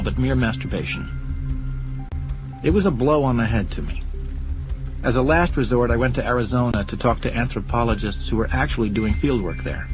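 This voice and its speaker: Male audiobook narrator over prominent music. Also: mid-range voice. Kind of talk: dramatized scripted narration. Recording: dull lo-fi audio under music.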